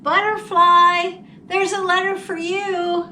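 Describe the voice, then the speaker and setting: A woman's voice: extremely high pitch, little pitch movement, takes it slowly, bright, forceful tone, voice pushed up, full character voice. A woman voicing a shy, kindly old mailman from a storybook, with terse, polite singsong calls. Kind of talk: storybook character voice. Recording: distant mic, faint background noise.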